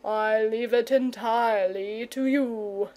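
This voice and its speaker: Storybook dragon, lazy and timid, slow drawl, lower and hazier than the narrator, as a woman puts him on. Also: fairly even intonation, a little mellow, rough recording. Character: lazy, languid old male dragon, gentle and timid.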